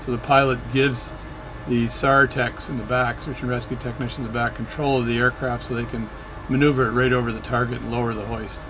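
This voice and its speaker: A man's voice: medium pitch, flat delivery, fairly quick, hardly any pauses, background noise. A man narrating his flight sim session in a chatty, rambling way, often correcting himself mid-sentence. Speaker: flight sim video creator. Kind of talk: casual narration while playing a flight sim.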